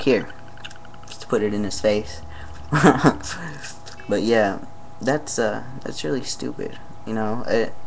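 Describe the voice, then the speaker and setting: Young man, deep low voice, casual vlog, rambling, lots of fillers, faint background hiss. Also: poor audio. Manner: rambling, many fillers, trails off into quiet asides. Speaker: vlogger.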